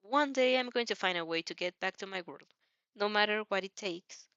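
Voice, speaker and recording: A woman's voice: mid-range voice, moderate pace, fairly bright tone, clean tone, no room sound, dull audio, natural voice. A woman voicing a young vampire girl, earnest, blunt and stubbornly determined.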